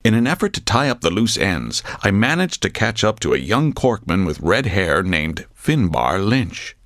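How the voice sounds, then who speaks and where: An actor playing a solemn, earnest radio presenter, reading scripted mock-documentary narration. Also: male voice, on the low side, big pitch swings, normal speed, a bit bright, no echo, clean studio sound. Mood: earnest, grave.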